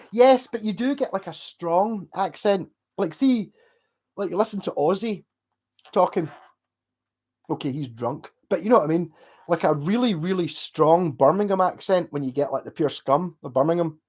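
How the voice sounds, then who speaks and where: A man's voice: a little high, animated. Middle-aged man, Glasgow Scottish accent, chatty, rambling, casual. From Scotland. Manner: rambling, chatty, swears and laughs, slows down when asked. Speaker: streamer reading chat. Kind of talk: casual chat.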